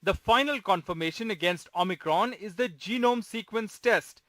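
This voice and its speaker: A man reads a scripted news report as a reporter. His voice sits high for a man. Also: normal speed, a bit bright, no room sound.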